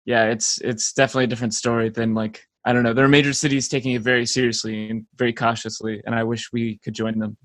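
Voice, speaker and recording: A man's voice: mid-range voice, flat delivery, fast, few pauses, a bit bright, no room sound. Easygoing young man, dry close sound. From South Carolina, United States. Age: young adult. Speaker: band member, podcast guest. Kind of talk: casual chat.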